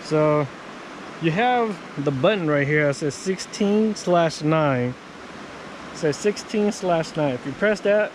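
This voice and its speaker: Casual male tutorial vlogger, higher register, stop-start and repetitive delivery, noisy open-air recording near water. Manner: halting, repeats himself, casual. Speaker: vlogger demonstrating handheld radios. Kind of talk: informal unscripted tutorial. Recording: noisy outdoor wind and water ambience.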